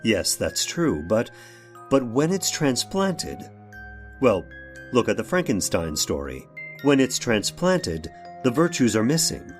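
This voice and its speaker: A man's voice: medium pitch, very animated, faint music behind. Actor playing an agitated, nervous man in radio horror. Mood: grave, insistent. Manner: halting, emphatic, rhetorical questioning. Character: nervous, defensive man confessing a macabre experiment. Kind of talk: old-time radio horror drama acting.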